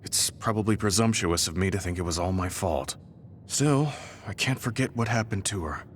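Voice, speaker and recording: Weary, low-voiced veteran soldier. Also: male voice, animated, a little hiss or hum. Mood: sorrowful, guilty. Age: middle-aged. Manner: measured, earnest, reflective. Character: weary, guilt-ridden veteran soldier, kind older man. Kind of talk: video game voice acting. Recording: studio voice under loud game music.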